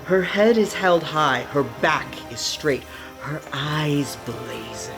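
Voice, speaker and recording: A woman's voice: sits low, slow pace, vocal fry, over music. A woman narrating a scripted fantasy radio play with a dramatic storytelling manner.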